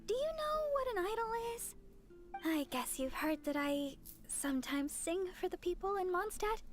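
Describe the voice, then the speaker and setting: A woman playing a sweet, humble young deaconess and songstress in a fantasy game, speaking gently, earnestly and apologetically. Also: very high-pitched, big pitch swings, normal speed, faint music behind. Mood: humble, hopeful. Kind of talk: animated game voice acting.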